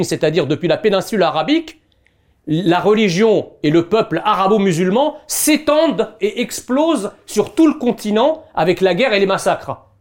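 A man from Egypt arguing as a debate guest, combative and sarcastic, often cutting in. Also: high voice. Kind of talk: heated debate.

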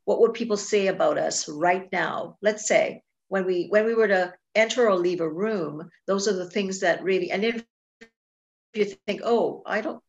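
A woman's voice: mid-range voice, normal speed, gravelly, dull audio. A woman from Trinidad and Tobago hosting a podcast interview, chatty and asking follow-up questions. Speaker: podcast host. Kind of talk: casual interview chat.

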